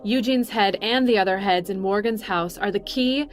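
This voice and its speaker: A woman's voice: mid-range voice, normal speed, close to an everyday voice. Commanding, condescending businesswoman played by an actress, over music. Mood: assured, condescending. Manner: smooth, condescending, authoritative. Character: cool, calculating, commanding businesswoman, pregnant corporate boss. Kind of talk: audio drama acting.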